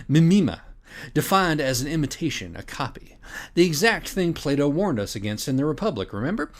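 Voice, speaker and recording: A man acting out an obsessive young lecturer in a dramatic comic monologue. His pitch is ordinary for a man but swings widely as he drives home pedantic points, then drops into sardonic, muttered asides. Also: natural voice.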